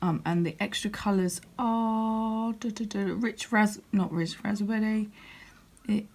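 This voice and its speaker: A woman with a croaky voice, chatting casually as she walks through a crafting catalogue, with lots of ums and restarts. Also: medium pitch, steady tone, takes it slowly, a little mellow. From United Kingdom. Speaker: crafting demonstrator. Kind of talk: casual product walkthrough.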